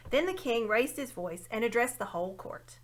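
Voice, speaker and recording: A woman giving an expressive read-aloud narration of a children's fantasy story. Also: fairly high pitch, normal speed. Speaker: storybook reader.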